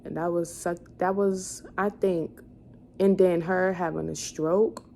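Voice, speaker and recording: A woman in her twenties speaks in a fairly low voice, as if chatting to her vlog viewers. She thinks aloud in a rambling way, doubling back to restart and correct herself. Some ambient noise sits behind her words. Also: steady tone, slow, mellow. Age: 20s. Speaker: vlogger. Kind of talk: casual chat, answering questions to camera. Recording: clearly noisy room ambience.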